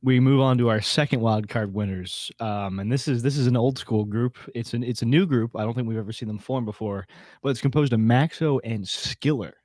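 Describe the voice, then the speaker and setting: A man's voice: medium pitch, fairly quick, a little mellow, no echo. A man hosting a podcast in casual, slangy chat, with a jokey, self-mocking manner. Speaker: podcast host.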